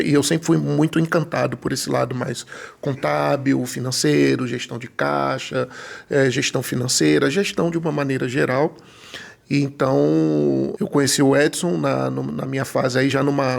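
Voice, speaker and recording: A middle-aged Brazilian man speaks as a guest in an interview. His voice sits at an average male pitch and is full of heavy vocal fry, and his delivery is conversational. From Brazil, born in Rio de Janeiro, grew up in Salvador, Bahia. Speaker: podcast guest, financial consultant.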